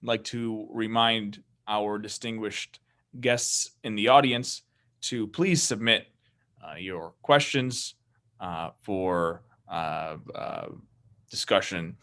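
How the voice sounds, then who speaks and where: A man with a low voice moderates a formal press conference. He speaks very slowly and hesitantly, filling gaps as he searches for words. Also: fairly bright tone. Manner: halting, hesitant.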